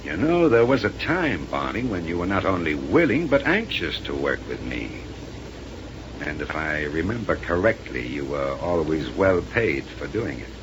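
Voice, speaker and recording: An actor playing a smooth, menacing, condescending villain in a 1930s science-fiction radio serial, mocking and theatrical. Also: male voice, rumbling low, big pitch swings, moderate pace, lo-fi sound. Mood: coaxing, sly. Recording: noisy vintage 1930s radio recording.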